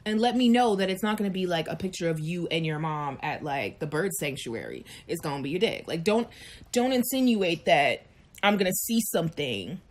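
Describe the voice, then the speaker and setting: Animated, frank woman in her thirties. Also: lowish voice, normal speed. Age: 30s. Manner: frank, animated storytelling with acted-out voices. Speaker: chat show co-host. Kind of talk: candid casual chat.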